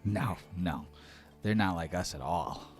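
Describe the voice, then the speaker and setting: A man's voice: deep voice, expressive, unhurried, slightly rough, pitched below the performer's own voice, heavily acted. A man playing a weary, plain-spoken village friend in a tabletop fantasy game. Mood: uneasy, weary.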